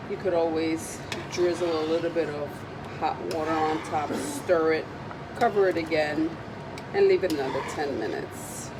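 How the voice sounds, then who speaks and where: A woman with a raspy, slightly low voice, still getting over a cold, chats loosely through a cooking demonstration, full of ums and side remarks. She is recorded in a home kitchen, with loud background noise under her voice. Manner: chatty, meandering, with frequent ums. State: recovering from a cold. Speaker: cooking video host.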